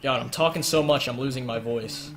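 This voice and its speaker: A man's voice: medium pitch, fairly quick, a bit bright, faint music behind. A young man narrating a step-by-step game walkthrough in an explanatory manner, his voice strained and cracking from long talking. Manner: explanatory, repeats points, filler "uh". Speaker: speedrun guide narrator. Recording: noisy home recording with keyboard clicks.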